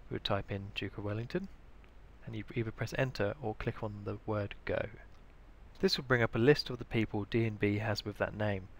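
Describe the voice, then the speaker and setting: British male tutorial presenter, lowish gravelly voice, reading a scripted how-to. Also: steady tone, fairly quick, slight background noise. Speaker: information librarian, tutorial presenter. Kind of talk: scripted instructional narration.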